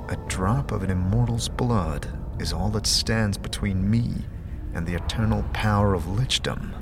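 A man's voice: fairly low pitch, noisy background. A man playing a scheming, haughty necromancer in a fantasy audio drama, pompously and formally intoning his journal. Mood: gloating.

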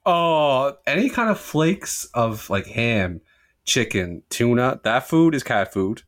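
Brief: excitable male podcast co-host, lively swooping pitch. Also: slow pace. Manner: excitable, emphatic storyteller. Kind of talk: casual movie chat.

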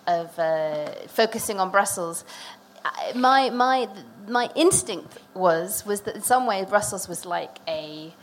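A woman's voice: mid-range voice, lively intonation, slow pace. Female moderator, searching and tentative delivery, slight background noise. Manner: tentative, searching, rephrases questions repeatedly. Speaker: moderator asking questions. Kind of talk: discussion moderation.

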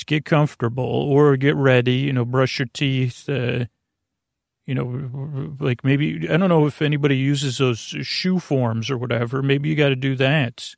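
A man hosting a bedtime podcast, talking through a long, meandering, unhurried intro meant to ease listeners toward sleep. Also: medium pitch, gravelly, no room sound, clean studio sound. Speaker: podcast host.

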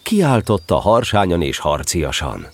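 Husky, animated male storyteller. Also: sits low. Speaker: narrator. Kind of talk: expressive scripted storybook narration.

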